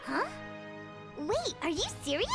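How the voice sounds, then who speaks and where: A woman voicing a small, chipper girl companion in a video game, squeaky, perky and inquisitive. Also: big pitch swings, measured pace, creaky, full character voice. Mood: curious. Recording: music behind the voice.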